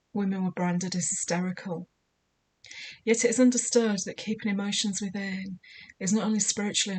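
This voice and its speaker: A woman with a slightly croaky voice giving spiritual guidance in a measured, soothing, deliberate way. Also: medium pitch, rough recording. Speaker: spiritual guide. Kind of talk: scripted spiritual guidance talk.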